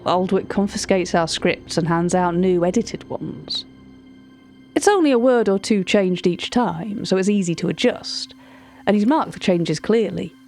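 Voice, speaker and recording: An anxious, confiding narrator in an audio mystery. Her voice sits a little low as she gives a wordy, hesitant account of strange events. Soft music plays behind her. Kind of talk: audio drama monologue, recorded-tape account.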